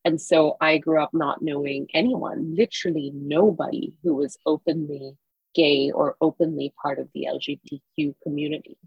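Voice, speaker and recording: Guest author from Singapore: a woman with a low, husky voice, earnest and encouraging, recorded dry and close. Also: steady tone, moderate pace, no room sound, dull audio. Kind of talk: podcast interview answer.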